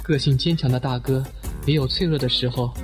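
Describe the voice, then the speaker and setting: Measured middle-aged man acted in radio drama. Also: mid-range voice, steady tone, natural voice. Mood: reflective. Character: measured middle-aged brother of a late tycoon. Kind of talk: audio drama dialogue. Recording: faint music behind.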